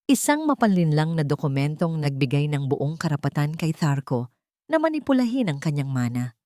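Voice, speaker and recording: Low-voiced woman narrating a drama. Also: darkish tone, no room sound, clean studio sound. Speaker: storyteller, narrator.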